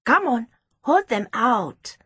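Storybook girl accuser, voiced by a woman: high, shrill, bossy, breathy-edged and very animated. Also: takes it slowly, no echo, exaggerated voice. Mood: demanding. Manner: demanding, accusatory.

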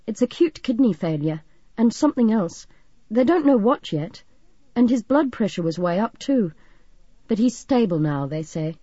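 Anxious, courteous young man played by a female narrator, earnest and mellow, storybook reading. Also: mid-range voice, sing-song, moderate pace, slightly rough, dry recording, highs cut off. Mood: worried. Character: worried, polite young man with a sick father.

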